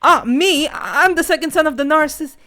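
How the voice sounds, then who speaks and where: Woman playing a flustered, high-pitched maned guy. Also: fairly quick, bright, forceful tone, faint music behind. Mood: nervous. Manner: jittery, stammering, easily rattled. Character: vain, flustered male with a mane, reluctant phone worker.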